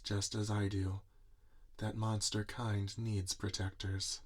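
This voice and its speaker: A man playing a gentle, earnest monster inventor in an ASMR roleplay, speaking softly in a soothing, deliberate, reassuring way. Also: on the low side, breathy edge, exaggerated voice. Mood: calm, reassuring. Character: gentle, earnest male monster inventor tending his robot creation. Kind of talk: soft-spoken ASMR roleplay. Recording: audible background noise, typing and clicks. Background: computer keyboard typing and clicks.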